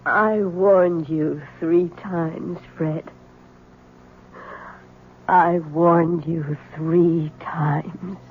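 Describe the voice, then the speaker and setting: An actress playing a mysterious young witch posing as a damsel, in slow, hushed 1970s radio drama acting on a noisy old broadcast. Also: lowish voice, steady tone, phone-quality sound. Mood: quietly menacing.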